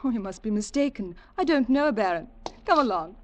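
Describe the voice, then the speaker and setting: A woman's voice: fairly high pitch, lively intonation, brisk, highs cut off, natural voice. An actress playing a breezy, self-assured rich young woman in a 1940s radio drama, airy and polished. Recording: noisy old radio recording.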